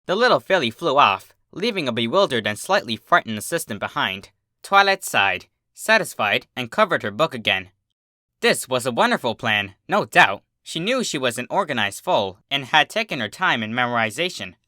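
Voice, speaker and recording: A man giving an expressive scripted narration of a story, as the host of a readings channel. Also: mid-range voice, moderate pace, no room sound, clean studio sound.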